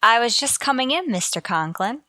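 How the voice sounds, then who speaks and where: Lady doing sarcastic teacher in old radio comedy, lively highish voice, dry sound. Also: moderate pace, dry recording. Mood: wry. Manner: wry, deferential sarcasm. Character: wry, quick-witted female high school English teacher. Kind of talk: old-time radio sitcom acting.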